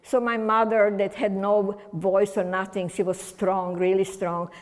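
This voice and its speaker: A woman's voice: medium pitch, level tone, a little slow. A woman in her eighties, a native German speaker from Romania, telling her Holocaust survival story to a community audience in a rambling, conversational way with restarts and wry humor. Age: 80s. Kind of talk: personal testimony talk to an audience. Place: community center hall. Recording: long hall reverb.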